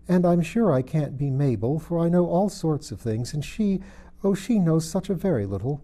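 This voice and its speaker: A bewildered little storybook girl, acted out by a man, pitched up high above his narration. The voice is prim and exclamatory, fretting and feeling sorry for herself as she chatters away. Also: lively intonation, moderate pace, mellow. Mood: puzzled, fretful. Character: bewildered young girl, chatty, fretful and self-pitying. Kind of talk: storybook character voice.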